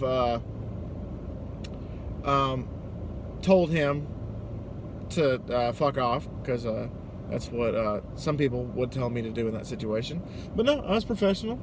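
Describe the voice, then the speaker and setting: An American man casually telling a personal story, hesitant and sweary, still a bit worked up. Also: on the high side. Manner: hesitant, sweary, acting out dialogue. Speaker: storytelling vlogger and delivery driver. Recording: noisy, car-like ambient background noise.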